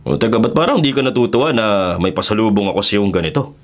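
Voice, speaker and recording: A man playing a stubborn, devoted forest ranger husband, earnest and calmly insistent, in a Filipino radio drama. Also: lowish voice, fast talker. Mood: cheerful.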